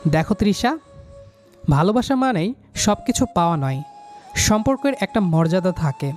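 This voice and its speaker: A man's voice: high voice, lively intonation, moderate pace, a little mellow. A man plays a stern, dutiful young man in a romantic audio drama. He speaks firmly and emotively, with music underneath. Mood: firm refusal. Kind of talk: audio love story acting.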